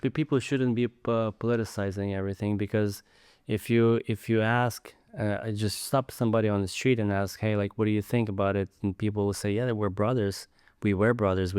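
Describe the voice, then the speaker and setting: Low, smooth-voiced man. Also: a little mellow, dry recording, studio quality. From Ukraine. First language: Ukrainian. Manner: earnest, repeats words while searching for them. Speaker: podcast guest. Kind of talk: casual conversation.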